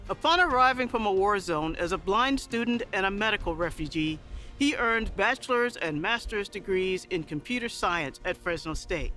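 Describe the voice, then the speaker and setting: Man, high bright voice, formal scripted intro, faint music behind. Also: animated. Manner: formal, measured. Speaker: university administrator presenting award.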